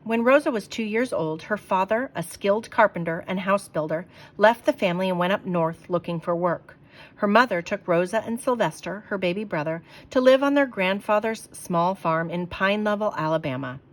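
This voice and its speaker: A woman reading a children's book aloud in a clear, expressive storytelling manner. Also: mid-range voice, moderate pace.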